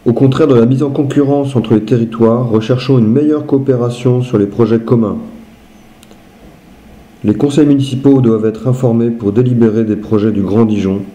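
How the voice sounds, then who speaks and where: A man's voice: medium pitch, steady tone, normal speed, dark tone. A man reading written statements aloud in a scripted style.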